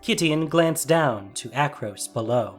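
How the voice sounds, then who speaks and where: Audio drama narrator, expressive mid-pitched delivery, light music underneath. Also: measured pace. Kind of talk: scripted dramatic story narration.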